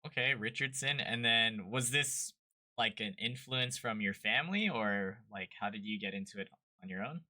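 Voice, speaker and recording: Male host, sharp crisp tone, casual and inquisitive interviewer. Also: on the low side, moderate pace. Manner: curious, asks follow-up questions. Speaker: podcast host.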